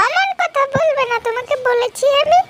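A woman's voice: very high-pitched, normal speed, a little hiss or hum. A woman voicing a small, devoted pet fox in a fairy-tale cartoon, earnest and childlike. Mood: urging.

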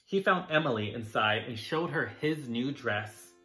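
A man narrating a children's picture book as a drag storytime reader, dramatic and deliberate. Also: medium pitch, lively intonation, pushed and bright, soft background music. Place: small furnished room indoors.

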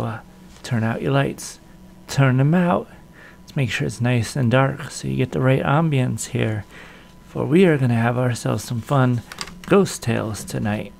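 A man's voice: medium pitch, creaky, a little hiss or hum. A man in his forties casually hosting a video introduction to old-time radio shows.

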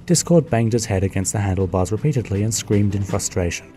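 A man giving steady, scripted narration for a fan radio play. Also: on the low side, fairly quick, faint music behind.

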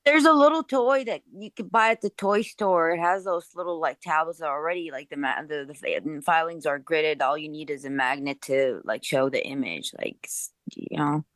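Guy chiming in casually on a call, bit higher voice, dry sound. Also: moderate pace, few pauses, fairly bright tone, no room sound. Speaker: call participant offering suggestions. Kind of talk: casual chat.

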